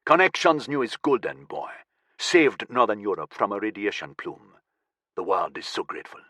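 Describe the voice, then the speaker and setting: A man voicing a cold, menacing militant leader who speaks slowly in a low, dangerous tone. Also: sing-song, bright, forceful tone, husky, no room sound, clean studio sound, full character voice.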